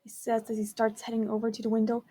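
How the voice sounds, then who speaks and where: A woman's voice: fairly high pitch, monotone, fast, warm tone. A woman reading scripted story narration aloud. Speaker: student reader.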